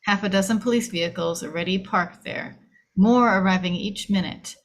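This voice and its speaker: Woman reading stage directions from a script, normal mid pitch voice. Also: moderate pace. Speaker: screenplay table reader. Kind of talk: screenplay narration read aloud.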